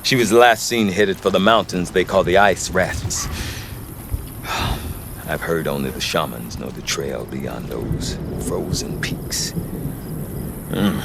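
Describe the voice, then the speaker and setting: An actor playing a folksy, wry middle-aged trader in a video game, chatty and given to colloquial sales patter and hesitations. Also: male voice, low voice, slow pace, gravelly, pauses often, background noise, exaggerated voice. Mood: wry, confiding. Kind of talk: video game character acting.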